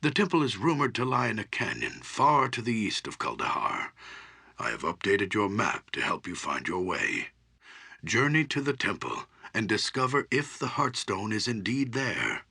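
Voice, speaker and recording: An actor playing an elderly, humble and wise archdruid in a fantasy game, speaking gravely in a measured, formal, theatrical way with a gravelly, husky voice. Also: male voice, fairly low pitch, wildly swooping pitch, bright, forceful tone, full character voice. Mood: solemn, explanatory.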